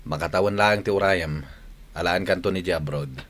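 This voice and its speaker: A man's voice: sits low, moderate pace, fairly bright tone. A man acting a hearty, confident family man in an Ilocano radio drama. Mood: confident, reassuring.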